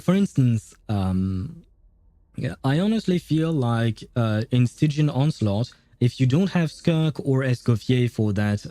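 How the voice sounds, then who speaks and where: A man's voice: medium pitch, mellow, no room sound. A man chatting casually on a livestream in a rambling, easygoing, chuckling way. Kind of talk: casual livestream chat about games and life.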